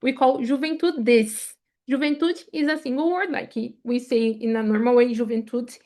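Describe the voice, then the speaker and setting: A woman whose first language is Portuguese, moderating an online webinar over a video call in an enthusiastic, deliberate way. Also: on the high side, a little mellow.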